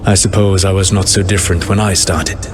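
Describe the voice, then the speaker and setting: Game cutscene: a calm, formal ancient elven god, voiced by a man, slightly low voice, over clear background noise. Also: close to an everyday voice. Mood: grave. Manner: calm, deliberate, formal speech. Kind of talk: video game cutscene acting.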